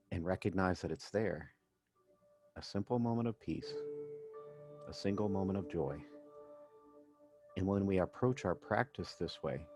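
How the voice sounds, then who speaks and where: A man working as a meditation coach, narrating a guided session in gentle, measured phrases with pauses. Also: low-pitched. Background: background music.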